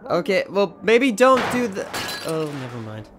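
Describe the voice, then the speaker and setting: A man's voice: high voice, big pitch swings, a little slow, noisy background. A man playing an earnest, dutiful pony captain of the royal guard in a radio drama, formal and pleading in manner. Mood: gently authoritative. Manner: formal, pleading, gentle with children. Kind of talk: fan radio drama voice acting.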